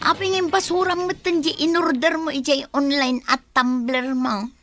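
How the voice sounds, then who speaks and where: Woman acting a plaintive, high-voiced young Ilocano daughter. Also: fairly even intonation, normal speed, a bit bright, faint music behind. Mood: dismayed. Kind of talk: Ilocano radio-style drama acting.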